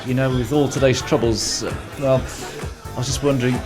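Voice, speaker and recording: A man's voice: mid-range voice, steady tone, normal speed, a crowd in the background, close to an everyday voice. A man in an amateur radio play acting a desperate, secretive friend with his hopes on the lottery, over music. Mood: awkward, sheepish.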